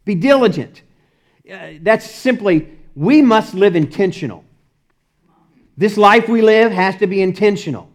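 A man with a Texas accent preaching a sermon as the pastor, emphatic and repetitive, often breaking into short laughs and swinging between loud and soft. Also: high voice, animated, measured pace. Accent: Texan.

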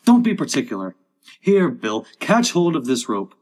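A man voicing squabbling storybook workmen who brisk and busily call out orders to one another. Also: mid-range voice, very animated, a little mellow, husky. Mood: bustling. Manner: brisk, calling out. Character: bustling, squabbling male workmen calling out orders.

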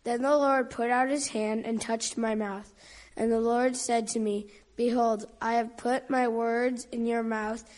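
A woman reading scripture aloud in a church service in an even, measured way. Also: on the high side. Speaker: scripture reader.

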